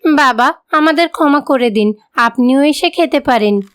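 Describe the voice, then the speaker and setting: A woman's voice: high-pitched, a little slow, fairly bright tone. A woman voicing a meek, considerate young daughter-in-law in a moral-story cartoon, with a Bengali accent and a polite, pleading manner. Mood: polite, apologetic.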